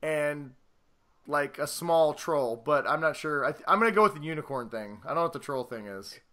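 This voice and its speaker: A man's voice: fairly high pitch, lively intonation, moderate pace, pushed and bright. A man co-hosting a video podcast, joking and teasing his co-host in casual banter. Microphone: video podcast mic.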